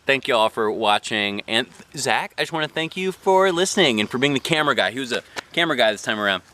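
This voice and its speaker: A man reading a children's book aloud as a dad, with expressive, animated narration and put-on character voices. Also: medium pitch, quick pace, slight background noise.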